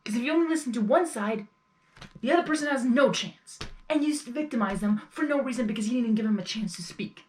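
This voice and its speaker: High-voiced woman reacting casually. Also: expressive, fast, a little mellow, a little hiss or hum. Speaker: reaction video host. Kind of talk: casual live reaction commentary.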